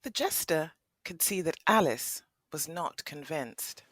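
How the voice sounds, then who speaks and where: A woman narrating a scripted audio story as an expressive storyteller. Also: low-pitched, slow pace.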